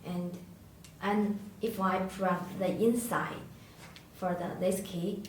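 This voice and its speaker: A woman who is a non-native English speaker, giving an unscripted product tutorial in a halting, hesitant way with searching pauses. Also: medium pitch, steady tone. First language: not English. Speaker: product demonstrator. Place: echoey indoor room, tabletop demonstration. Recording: echoey room, distant mic, faint noise.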